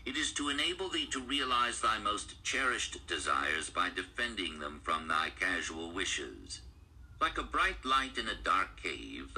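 Bright, forceful man narrating an audiobook. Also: deep voice, low-quality recording. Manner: formal, instructive. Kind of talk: scripted narration, reading archaic prose. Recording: audiobook playback with faint background noise.

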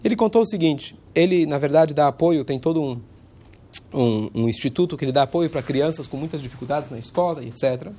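A man, hoarse from a cold, giving an informal religious lesson in a conversational way and pausing now and then to search for words. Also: fairly high pitch, animated, warm tone.

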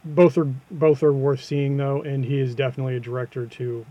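Man, quiet voice, hesitant, repeats words, casual podcast co-host. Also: level tone, a little fast, no echo, lo-fi sound. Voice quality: deep, quiet voice.

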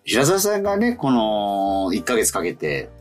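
A male radio host with a very low voice that swings widely in pitch. He chats casually and politely, reading letters expressively over faint background music. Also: huge pitch swings, a little slow.

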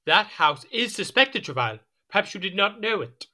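Period audio drama: petulant male monarch. Higher register, very animated, haughty and quick to exasperation. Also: heavily acted. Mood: impatient. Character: petulant, imperious, easily irritated king.

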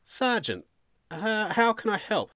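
A man's voice: sits high, sing-song, slow, pushed and bright, no echo, voice pushed up, exaggerated voice. A man playing a nervous, bewildered male suspect in a story, polite but defensive and hesitant. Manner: hesitant, perplexed, protesting.

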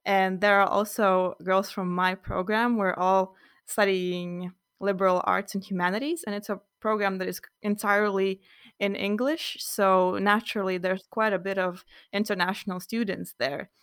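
A woman's voice: mid-range voice, level tone, moderate pace, fairly bright tone, clear, resonant tone, no room sound, studio quality. A young woman hosting a casual student podcast, chatting loosely with some fillers. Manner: friendly, loosely improvised with fillers. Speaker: podcast host.

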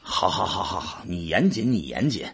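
An actor playing a boastful, defensive man bickering with a friend, husky and colloquial, in a sci-fi audio drama. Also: sits low, sing-song, normal speed, a bit bright, full character voice. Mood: exasperated, defensive. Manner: colloquial, grumbling, exasperated.

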